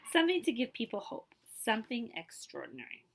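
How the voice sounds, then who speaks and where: A woman librarian reading a picture book aloud to children, with expressive, emphatic phrasing and casual asides. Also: a little high, a little fast, gravelly, a little hiss or hum.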